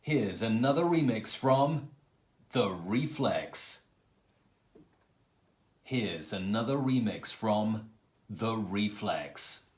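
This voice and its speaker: A man playing a robotic radio announcer with stiff, drawn-out, deliberate delivery. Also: fairly low pitch, lively intonation. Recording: roomy echo with noise.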